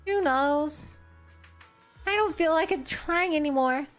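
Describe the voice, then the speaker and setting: A woman's voice: high voice, takes it slowly, faint music behind. A woman voicing a forlorn, empty-headed little robot helper from a video game, naive and earnest.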